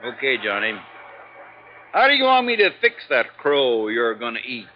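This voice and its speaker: An actor with an American accent playing an exasperated, dismissive insurance agent in a 1950s radio drama, grumbling and sardonic. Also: male voice, mid-range voice, huge pitch swings, moderate pace, over loud music. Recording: dull vintage radio recording.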